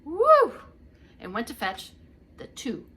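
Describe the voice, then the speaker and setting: A woman's voice: sits high, huge pitch swings, takes it slowly, pushed and bright, slightly rough. A woman reading a story aloud to young children like a preschool teacher, theatrically drawing out the action words and talking to her listeners.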